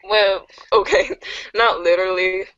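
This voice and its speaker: A woman's voice: medium pitch, unhurried, fairly bright tone, no room sound, natural voice. A woman playing an evasive, teasing girl confiding in a friend in an amateur webcomic reader's theater, on a muffled recording. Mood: teasing, apologetic.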